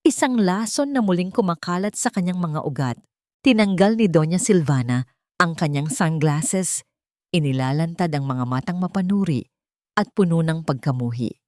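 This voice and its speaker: Low-pitched Filipino woman narrating a dramatic story. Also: lively intonation, normal speed, a little mellow, no echo, studio quality.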